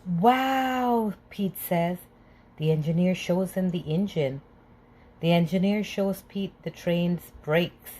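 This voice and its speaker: Woman reading a kids book out loud, super slow and clear. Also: lowish voice, steady tone, slight background noise. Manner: slow, clear, gentle read-aloud delivery. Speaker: children's book reader. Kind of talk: read-aloud storytelling.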